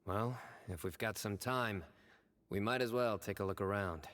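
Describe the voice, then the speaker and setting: A man voicing a serious, reserved traveller in a video game, subdued and measured. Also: fairly low pitch, fairly bright tone, lightly acted. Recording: long reverb.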